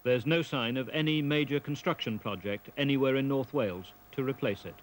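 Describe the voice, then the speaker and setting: A man narrating a documentary from a script, in a measured, matter-of-fact way. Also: medium pitch, noisy background.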